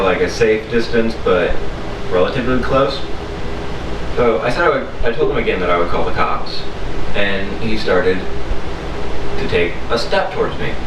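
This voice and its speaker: A man telling a personal story casually, in a halting way with frequent pauses. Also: on the low side. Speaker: storyteller, video host. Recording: roomy echo, distant mic, background hum.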